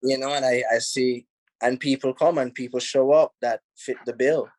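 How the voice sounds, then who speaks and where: Jamaican man, film director on a video call, easygoing and chatty, mid-pitched, dry sound with no room. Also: moderate pace, dry recording, rough recording. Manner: relaxed, rambling, many "you know"s, laughs easily. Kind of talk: casual interview answers. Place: home in Los Angeles.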